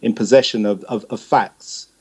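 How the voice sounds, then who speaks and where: Middle-aged male guest, lowish voice, talking off the cuff with repeated words and hesitations. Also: normal speed, darkish tone, slightly rough. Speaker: guest, music promoter and manager. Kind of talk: conversational interview answer.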